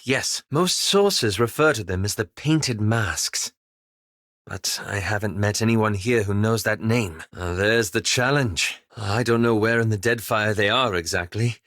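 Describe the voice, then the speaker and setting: A man playing a polite, anxious, scholarly elven wizard in a video game, speaking with formal, measured, courteous diction. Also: mid-range voice, fairly bright tone, dry recording, studio quality, close to an everyday voice. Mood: earnest, measured. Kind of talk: video game character dialogue.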